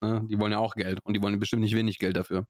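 Young Swabian man, podcast co-host, rattles on quickly in a slightly low voice, lots of "äh", casual chatter. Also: flat delivery, darkish tone, dry recording, studio quality. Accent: Swabian German. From Augsburg, Swabia, Germany. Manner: chatty, rambling, lots of fillers and restarts. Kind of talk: casual chat.

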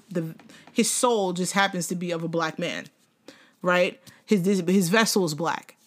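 A young woman co-hosting a podcast in casual chat, animated, laughing often and swearing freely. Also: on the low side. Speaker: podcast co-host.

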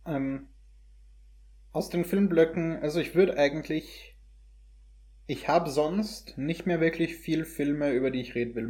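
A man's voice: on the high side, darkish tone. A young adult man talking casually about films in as a host, searching for his words and mixing in English slang. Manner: hesitant phrasing mixed with English slang words. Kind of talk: casual conversational film review.

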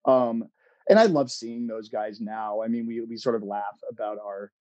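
A middle-aged American man chatting casually as an interview guest, telling anecdotes in a halting, expressive, self-amused way. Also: medium pitch, no echo, dull audio. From Oregon, United States. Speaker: interview guest, playwright and screenwriter.

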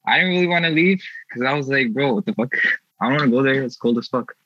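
A man's voice: mid-range voice, fast talker, a bit bright, dull audio. A young man from India telling his family story in a laid-back, slangy way during a casual chat. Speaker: youth artist guest.

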